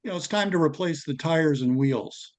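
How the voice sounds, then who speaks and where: A man on a group call, casually asking for advice in a tentative, halting way. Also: fairly high pitch, darkish tone. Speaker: club member asking for advice. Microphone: conference call.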